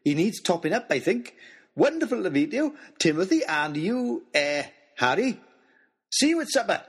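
Man voicing a jovial giant old man, booming exclamations, lively, husky tone, storybook audio. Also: moderate pace, full character voice. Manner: booming, delighted, gushing exclamations.

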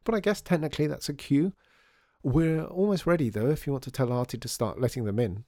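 A man's voice: mid-range voice, a little fast, dark tone, dry recording, studio quality, voice pushed up, lightly acted. A man voicing a friendly, upbeat woman store manager in a storybook, chatty and encouraging in manner. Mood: cheerful.

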